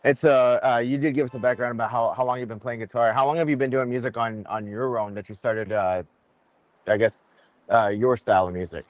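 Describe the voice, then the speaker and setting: A man hosting a podcast, with an easygoing manner, quick encouraging replies and frequent laughter. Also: mid-range voice, fairly even intonation, no echo, dull audio. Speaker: podcast host. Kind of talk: casual podcast chat.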